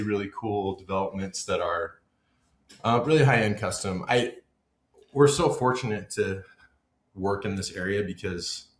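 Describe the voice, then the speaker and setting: A man from Utah, a construction company owner, chatting in an easygoing, halting way as a podcast guest. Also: on the low side, roomy sound. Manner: easygoing, halting, with fillers and laughs. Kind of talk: casual interview chat.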